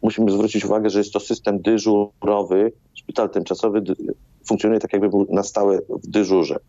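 A man's voice: on the low side, level tone, fairly quick, mellow. A man, a doctor answering interview questions hesitantly, repeating words as he explains, over a video call. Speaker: doctor, hospital chief interviewed as guest. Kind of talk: live radio interview answers. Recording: dull, roomy video-call sound.

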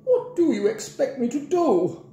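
Man putting on a haughty, high-pitched old lady. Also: wildly swooping pitch, normal speed, dark tone, echoey room, a little hiss or hum. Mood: fussy, complaining. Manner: prim, complaining. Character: haughty, fussy old lady, imperious and unhurried.

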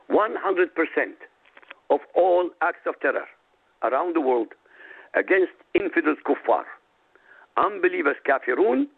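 Gravelly husky guy from iraq, arabic his first language, calling in over a tinny phone line, hammering each point. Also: a little high. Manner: emphatic, declamatory, lists items slowly. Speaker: radio show guest. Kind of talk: reading a prepared statement on talk radio.

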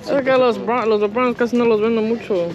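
A man's voice: fairly even intonation, fast talker, lo-fi sound. A young man vlogging at an outdoor flea market, haggling and chatting casually. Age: young adult. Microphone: action camera on the speaker. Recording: noisy outdoor market, vehicle rumble.

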